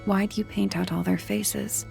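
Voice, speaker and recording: Very creaky, blunt female sleuth. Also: medium pitch, level tone, measured pace, a little mellow, faint music behind. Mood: probing. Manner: blunt, pressing. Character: blunt, probing female detective sidekick. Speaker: video game voice actor. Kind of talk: scripted game dialogue.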